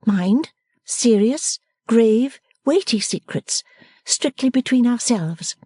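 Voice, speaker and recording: A woman's voice: a little high, lively intonation, takes it slowly, dark tone, slightly rough, no echo, voice pushed up, heavily acted. A woman reader voicing a playful, teasing young woman who talks in an emphatic, theatrical way.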